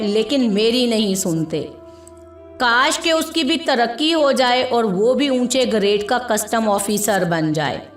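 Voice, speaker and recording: A woman narrating a scripted story in a measured, expressive storytelling manner. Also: sits high. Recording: echoey room with background music. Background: music.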